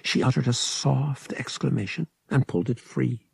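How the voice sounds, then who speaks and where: A man narrates an audiobook with a husky, gravelly voice. His delivery is dramatic and scripted, swinging widely in pitch, and the sound is close and dry. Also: normal speed, dry recording.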